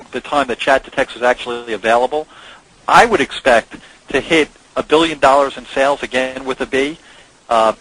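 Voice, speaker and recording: A man's voice: medium pitch, a bit bright, rough recording. A man speaking as co-founder and host on a conference call, giving a conversational, promotional sales presentation. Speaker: company co-founder hosting a presentation. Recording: clear background noise on conference call.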